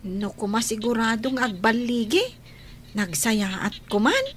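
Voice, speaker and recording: A woman's voice: a little high, animated, a little slow, a little hiss or hum. A woman voicing a selfless young girl, a loving sister in an Ilocano radio drama, childlike and earnest. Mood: earnest, self-sacrificing.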